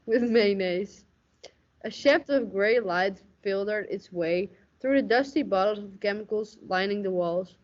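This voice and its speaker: A woman playing a tough, deadpan police lieutenant narrating a noir radio murder mystery. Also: mid-range voice, close to an everyday voice. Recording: slightly dull lo-fi recording.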